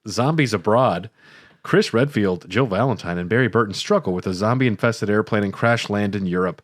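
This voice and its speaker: A man co-hosting a podcast, reading summaries and chatting casually with deadpan, joking quips. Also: on the low side, moderate pace, dry recording, studio quality. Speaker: podcast co-host. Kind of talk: casual podcast chat with read-out plot summaries.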